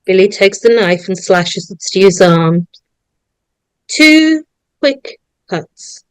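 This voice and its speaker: Slow-paced woman narrator. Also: medium pitch, expressive, clean tone, no echo. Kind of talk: reading stage directions at a script table read.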